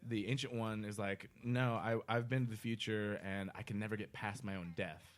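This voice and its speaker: A man co-hosting a casual podcast, explaining things in a profane, animated way and quoting people in his own words. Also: lowish voice, moderate pace. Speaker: podcast co-host. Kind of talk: casual chat, film discussion.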